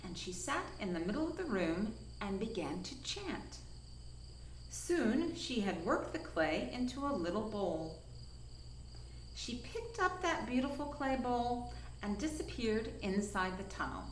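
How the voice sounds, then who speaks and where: Expressive, unhurried woman storyteller in an echoey room. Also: a little high. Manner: dramatic, deliberate, drawn-out emphasis. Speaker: storyteller from a science museum. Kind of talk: expressive read-aloud of a children's story. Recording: echoey room, faint insect-like noise.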